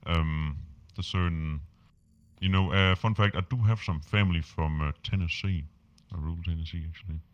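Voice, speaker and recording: Deep-voiced Danish man, halting speech. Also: dry recording, lo-fi sound. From Denmark. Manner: halting, many fillers and restarts. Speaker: podcast guest, musician. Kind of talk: casual interview chat.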